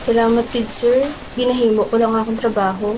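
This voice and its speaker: A woman's voice: on the high side, steady tone, brisk, music in the background, natural voice, poor audio. A woman voicing a young employee who answers politely but uneasily and pleads to be left alone. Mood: uneasy. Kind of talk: student radio drama acting.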